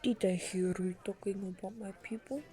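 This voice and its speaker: A woman playing a cool, commanding winter elf assassin in a fantasy audio drama, deliberate and authoritative. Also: medium pitch, steady tone, dark tone, faint music behind, close to an everyday voice. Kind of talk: amateur fantasy audio roleplay acting.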